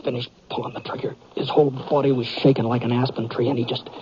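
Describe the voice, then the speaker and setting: An actor playing a wounded, plain-spoken gunfighter who narrates haltingly in an old-time radio western. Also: male voice, mid-range voice, flat delivery, natural voice. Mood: pained, tense. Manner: halting, folksy drawn-out storytelling. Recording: muffled old radio recording with hiss.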